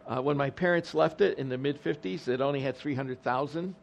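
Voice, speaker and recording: A man's voice: mid-range voice, fairly quick, creaky. A man in his sixties, a retired pastor whose first language is Spanish, speaking to a congregation in a chatty, self-deprecating way. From Detroit, USA. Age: 60s. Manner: conversational and self-deprecating, frequent ums and restarts. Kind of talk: informal talk to a congregation.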